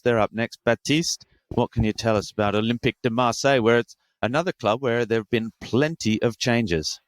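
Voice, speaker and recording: A man's voice: medium pitch, clear, resonant tone, no room sound. A man hosting a football podcast panel, with a wry, teasing manner. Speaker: podcast host.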